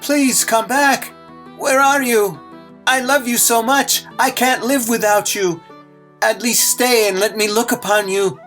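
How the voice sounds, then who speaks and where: A woman's voice: fairly high pitch, fairly even intonation, unhurried, a bit bright, faint music behind. A woman playing a vain, lovesick young man in an audio drama, melodramatic and overwrought. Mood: desperate, pleading. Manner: pleading, overwrought.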